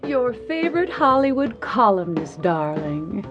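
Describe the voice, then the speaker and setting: A woman's voice: medium pitch, big pitch swings, unhurried, muffled recording, faint music behind. A woman playing a sly, prying Hollywood gossip columnist in an audio drama, arch and insinuating. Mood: sly, probing.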